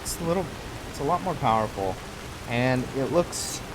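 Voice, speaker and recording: A man giving casual let's play commentary as a gaming host, thinking aloud in an unhurried way. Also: medium pitch, lively intonation, background noise. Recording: loud rain and thunder game audio behind. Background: game rain and thunderstorm ambience.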